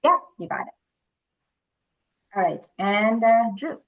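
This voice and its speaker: A woman's voice: fairly high pitch, sing-song, slow pace. Woman quizmaster reading out questions, chatting casually between, kinda dull lofi call audio. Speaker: quiz host reading questions.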